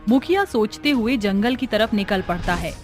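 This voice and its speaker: A woman's voice: mid-range voice, lively intonation, normal speed. A woman reading scripted horror storytelling narration as the story's narrator. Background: background music.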